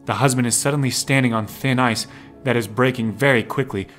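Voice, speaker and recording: A man's voice: medium pitch, fairly even intonation, brisk, a bit bright. A man narrating a scripted audio drama in the present tense. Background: music.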